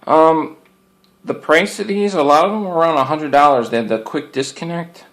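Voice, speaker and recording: A man's voice: on the high side, moderate pace, a bit bright. A man giving a casual, unscripted product review as a hobbyist, rambling in a folksy way and circling back over his points. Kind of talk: casual unscripted show-and-tell.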